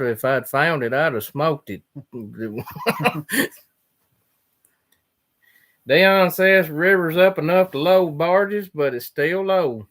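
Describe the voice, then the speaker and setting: A man's voice: on the high side, a bit bright. A man, a farmer chatting casually on a livestream and answering viewer questions. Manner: drawling, plainspoken, trails off mid-sentence.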